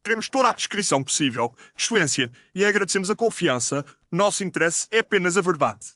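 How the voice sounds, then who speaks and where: A man's voice: high-pitched, expressive, fast talker, sharp tone, no room sound, clean studio sound. A man playing a formal, firm Victorian police inspector with an official, declamatory manner in an audio story. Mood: firm, polite.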